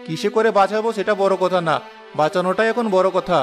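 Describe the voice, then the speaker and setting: A man's voice: sits high, fast talker, soft background music, close to an everyday voice. A man voicing a kind young village headman. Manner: earnest, encouraging. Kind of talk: Bengali cartoon voice acting.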